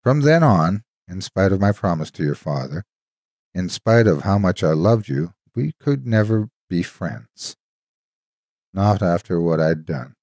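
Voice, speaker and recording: A man's voice: low voice, sing-song, a little slow, darkish tone, dry recording, clean studio sound, heavily acted. A man voicing a devoted, protective soldier's ghost in an earnest, solemn horror storytelling reading. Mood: solemn regret.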